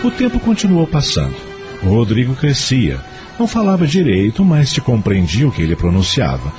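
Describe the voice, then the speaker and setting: A man's voice: medium pitch, huge pitch swings, normal speed. A man with a Brazilian accent narrating a scripted audio drama as a storyteller. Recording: music behind the voice.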